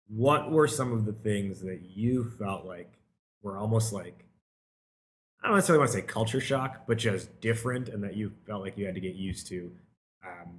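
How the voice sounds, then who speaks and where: Low, bright-voiced male podcast host. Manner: friendly, chatty, laughs easily, asks rambling questions. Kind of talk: conversational podcast interview.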